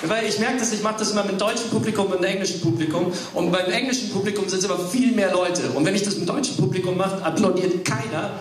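A man's voice: high-pitched, steady tone, quick pace, few pauses. A man in his thirties doing a stand-up comedy routine on stage, telling stories in a casual, slangy way. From Wales. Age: 30s. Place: live stage before an audience. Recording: echoey, distant stage sound, loud noise.

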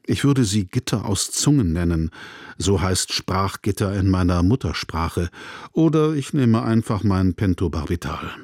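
A man's voice: deep voice, expressive, a little slow, a little mellow, no room sound, clean studio sound. A man reading a first-person novel passage in a grave, introspective way. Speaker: reader.

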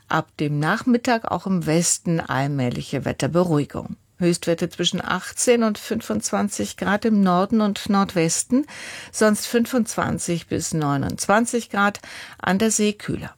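Woman reading the news and weather, lowish voice, dry studio sound, steady pace. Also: no echo. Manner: even, measured read. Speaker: newsreader. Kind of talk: scripted radio news. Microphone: studio mic.

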